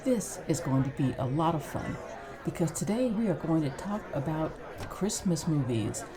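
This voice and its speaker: A woman's voice: sits low, very animated, moderate pace, crowd noise. A woman hosting a podcast, introducing the discussion in a casual, conversational style. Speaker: podcast host. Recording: background voices.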